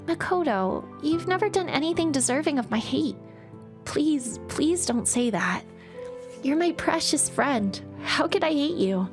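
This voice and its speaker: Woman doing a high, bright young friend, caring and insistent, faint music behind. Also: animated, measured pace. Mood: pleading.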